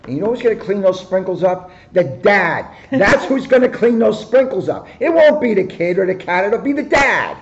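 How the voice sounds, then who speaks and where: A man in his sixties, a police officer, reading a picture book aloud with joking asides, in a high-pitched voice. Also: sing-song, normal speed, hardly any pauses. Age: 60s. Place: office, indoors. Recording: dull, lo-fi camera microphone.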